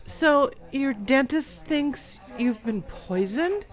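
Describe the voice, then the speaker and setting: Radio drama: an actress plays a warm-mannered young teacher, lively but unhurried. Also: female voice, high voice, soft background music. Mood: friendly. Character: cheerful young female kindergarten teacher.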